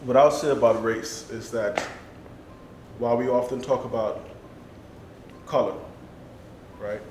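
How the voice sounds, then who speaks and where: A man speaking earnestly on a panel, building emphasis through repetition. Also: a little slow. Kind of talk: discussion. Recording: echoey room with low rumble.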